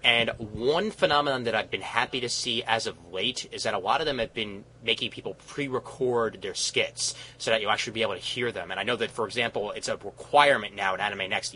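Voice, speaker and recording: A man's voice: fairly low pitch, bright, forceful tone, slight background noise, low-quality recording. A young man hosting a casual podcast chat over a call, rambling with fillers and restarts. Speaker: college radio host. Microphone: Skype call mic.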